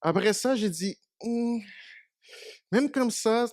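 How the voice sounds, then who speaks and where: A man's voice: extremely high pitch, lively intonation, slow, dry recording, studio quality. A man whose first language is Portuguese, giving a short church meditation in a small congregation and asking them questions. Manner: conversational, asks the congregation questions. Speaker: preacher.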